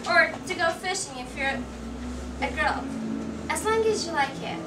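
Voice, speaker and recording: A woman's voice: high-pitched, animated, moderate pace. A woman narrating a scripted children's story for a puppet show. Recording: echoey, noisy room from far off.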